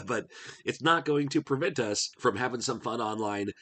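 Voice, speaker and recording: A man's voice: mid-range voice, animated, moderate pace, fairly bright tone. A middle-aged man with a congested cold voice, chatting casually as he browses a retro game store online. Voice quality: husky. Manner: chatty, musing aloud while browsing. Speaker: retro game channel host. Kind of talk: casual screen-share commentary.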